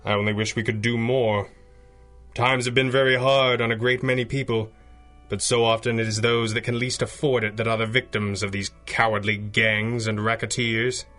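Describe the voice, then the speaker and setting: A man's voice: medium pitch, little pitch movement, normal speed, fairly bright tone, lightly acted. Male actor for resolute vigilante hero in radio drama: medium voice, formal, grave, faint music beneath. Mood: wry, grave.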